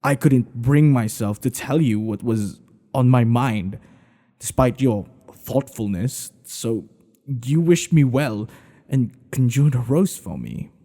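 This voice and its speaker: A man playing a tender, devoted king in an intimate ASMR roleplay, speaking in an earnest, hushed, halting way. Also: medium pitch, expressive, long reverb tail. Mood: tender, confiding. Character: tender, devoted male monarch hiding a secret lover.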